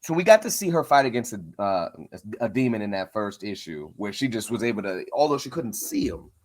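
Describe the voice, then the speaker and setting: A man hosting a comics interview show, talking informally and haltingly as he thinks his questions through aloud. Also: on the low side, big pitch swings, darkish tone.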